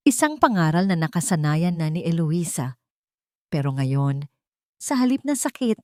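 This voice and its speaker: A woman's voice: lowish voice, a little mellow, no room sound, studio quality. A woman storyteller reading a scripted, dramatic narration of a love story.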